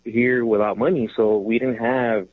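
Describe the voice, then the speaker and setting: A man's voice: medium pitch, steady tone, a little slow, dry recording. A man from Mexico in his thirties, calling in from his car over a tinny phone line. He rambles and hesitates with plenty of ums, and his voice sits in a plain middle range with a dry sound. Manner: rambling, hesitant, lots of ums, laughs easily. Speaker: caller. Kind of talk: casual call-in chat.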